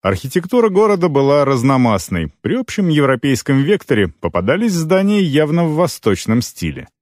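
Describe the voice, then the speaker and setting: Lively male audiobook narrator, dry close sound. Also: fairly high pitch, a little fast, no room sound. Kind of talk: scripted first-person fiction narration.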